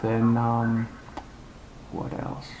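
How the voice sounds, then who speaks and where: A man giving an unscripted, casual movie-review rant in a halting, blunt way, in a homemade recording. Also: mid-range voice, monotone, noisy background. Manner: halting, rambling delivery. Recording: homemade, radio music playing behind. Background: music from a live radio.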